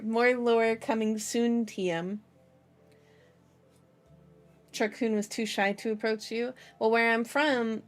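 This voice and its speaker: A young woman streamer chatting casually in a playful, silly, giggly manner with cutesy slang, like she is hosting a livestream introduction. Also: medium pitch. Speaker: VTuber streamer, channel host.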